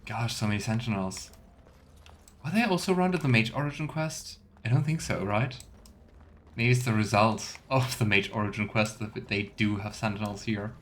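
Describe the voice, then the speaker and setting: A man's voice: on the low side, normal speed, a bit bright, a little hiss or hum. A man giving casual let's play commentary, thinking aloud in loose fragments. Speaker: game streamer. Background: faint game music.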